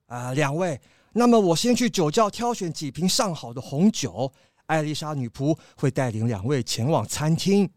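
A man's voice: a little high, lively intonation, normal speed, no echo, clean studio sound, natural voice. A man playing a fawning, nervous capybara butler in a children's mystery audio drama. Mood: polite. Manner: obsequious, formal, stammering when evasive.

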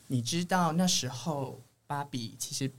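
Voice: mid-range voice, measured pace. A podcast host with a Taiwanese Mandarin accent, chatting and interviewing casually in a playful, teasing way.

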